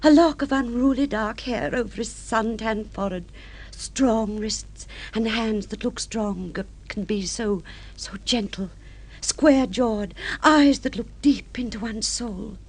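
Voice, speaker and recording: An actress playing a middle-aged social-climbing wife and fantasist in a radio drama, with a gushing, theatrical, affected manner. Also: female voice, a little high, unhurried, darkish tone, poor audio. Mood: dreamy. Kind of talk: mid-century radio drama acting.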